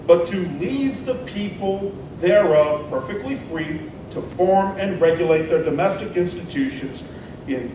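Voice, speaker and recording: A high-voiced, fiery nineteenth-century senator acted by a man declaims a stump speech in emphatic, deliberate phrases. The sound is very echoey and distant, with clear rumbling noise around the voice. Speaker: reader in a dramatic debate reenactment. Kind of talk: dramatic reading of a 19th-century political stump speech. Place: large echoing indoor event room. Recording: echoey hall, distant mic, noisy rumble.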